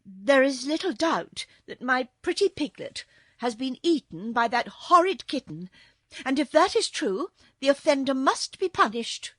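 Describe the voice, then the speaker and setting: A woman voicing a dignified young princess in a storybook, gentle but indignant, with a formal, measured manner. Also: a little high, sing-song, no echo, pitched above the performer's own voice, heavily acted. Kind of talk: storybook character voice.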